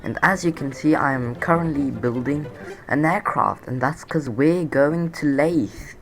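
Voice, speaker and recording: A man's voice: on the high side, unhurried, a bit bright, a little hiss or hum. A man with a sore throat and a nasal voice, rambling through casual game commentary with many fillers and restarts. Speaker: gameplay commentator.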